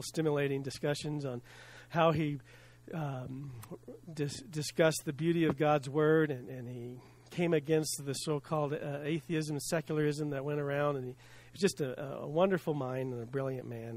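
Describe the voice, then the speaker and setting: An earnest middle-aged pastor delivers a sermon at a lectern, his voice a touch higher than most men's. His phrases fall away softly at the ends. The sound is close and dry, with little room around it. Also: no room sound. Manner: earnest, preaching from a lectern with pauses and soft drops; self-deprecating laugh at hard words. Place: church sanctuary with stage and screen. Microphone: stand mic at lectern, filmed from the back.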